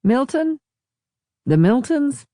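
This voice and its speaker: A woman's voice: high-pitched, big pitch swings, slow, mellow, dry recording, studio quality, voice pushed up, exaggerated voice. Woman voicing a flippant rich weekend sailor. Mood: offhand. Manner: flippant, offhand. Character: wealthy, dismissive male weekend host out sailing.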